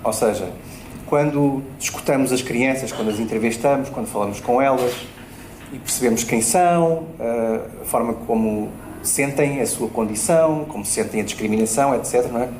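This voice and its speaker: A man with a European Portuguese accent speaks as a debate panelist, pausing often with fillers and tag questions. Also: medium pitch, a little mellow. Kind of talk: public panel discussion. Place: event space at a bookshop. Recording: roomy hall with faint noise.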